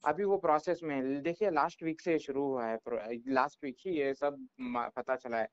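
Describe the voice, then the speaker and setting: Dull dry phone recording, Indian man, smooth voice, company representative, explaining, repetitive and emphatic. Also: fairly high pitch, moderate pace, dry recording. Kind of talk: phone conversation, explaining and defending.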